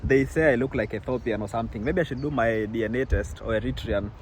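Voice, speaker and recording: Young man, vlog host, casual probing questions, mid-pitched voice, faint background noise. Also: quick pace. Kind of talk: casual chat.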